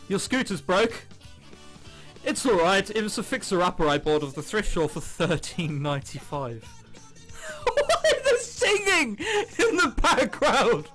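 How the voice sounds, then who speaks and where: A man doing let's play commentary, reading visual novel text aloud in an excitable, giggly way with exaggerated reactions. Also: sits high, unhurried. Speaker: video game commentator. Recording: background music under the voice. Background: game music.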